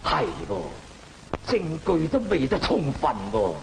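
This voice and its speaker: Pompous, slow, swooping magistrate. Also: male voice, fairly high pitch, very animated, vocal fry, very noisy, full character voice. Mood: questioning. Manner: pompous, declamatory, drawn-out syllables. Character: stern, deliberating imperial magistrate presiding in court. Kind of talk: old Cantonese opera film acting, half-chanted. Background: music.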